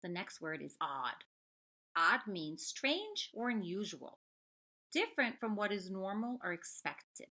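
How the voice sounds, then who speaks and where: Lively, bright-voiced woman teaching young children. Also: medium pitch. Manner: deliberate, explanatory, addressing children directly; expressive storybook delivery. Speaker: elementary school teacher reading aloud. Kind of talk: read-aloud lesson for young children.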